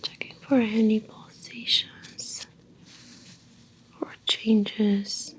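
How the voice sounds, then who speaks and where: A woman whispering softly in an ASMR medical exam roleplay, speaking deliberately in short phrases with pauses between them. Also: fairly high pitch, flat delivery. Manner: slow, deliberate, soft-spoken, pausing between short phrases. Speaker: ASMR performer acting as a medical examiner.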